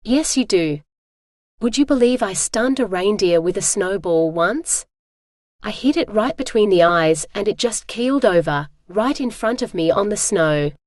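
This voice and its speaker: A woman doing a calm, teasing strong man. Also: mid-range voice, a little slow, no room sound, clean studio sound, lightly acted. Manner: calm, matter-of-fact, formal phrasing.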